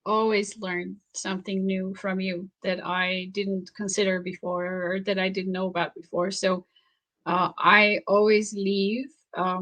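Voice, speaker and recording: A woman whose first language is Slovak speaks as an author being interviewed. She is reflective and halting, restarting phrases as she searches for words. She breaks into light laughter often. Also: mid-range voice, a bit bright. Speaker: author and researcher guest. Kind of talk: interview conversation.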